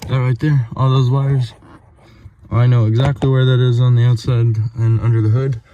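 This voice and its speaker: A man's voice: mid-range voice, flat delivery, moderate pace, warm tone, no room sound, muffled recording. A man presenting a casual hands-on tutorial, rambling and informal with some mild swearing, recorded on a phone camera. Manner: rambling, informal, mildly profane. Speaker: do-it-yourself video presenter. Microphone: phone camera held while working. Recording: clearly noisy ambient phone recording. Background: ambient noise.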